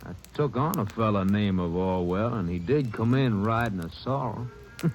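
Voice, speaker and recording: Radio western foreman, played by a man: amiable, rambling, somewhat deep, folksy drawn-out hesitations, light vintage noise. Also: animated, close to an everyday voice. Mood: amiable, obliging. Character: genial, chuckling, talkative ranch foreman. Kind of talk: old-time radio western acting. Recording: noisy vintage radio recording.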